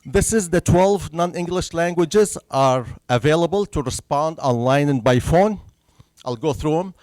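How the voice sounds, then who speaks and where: Earnest presenter, male, Arabic native with a Syrian accent, slightly raised pitch, dry close sound, checks in with listeners often. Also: animated, unhurried, a little mellow, no room sound. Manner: earnest, checks in with the audience after each point. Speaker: census presenter. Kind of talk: public information presentation. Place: senior center meeting room, indoors.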